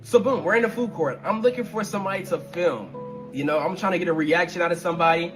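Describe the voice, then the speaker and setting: A man's voice: high voice, fast, over music. A man telling a casual, slangy story about one of his videos, acting out the other people's lines as he goes. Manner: animated, slangy, laughs while telling. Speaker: content creator telling a story.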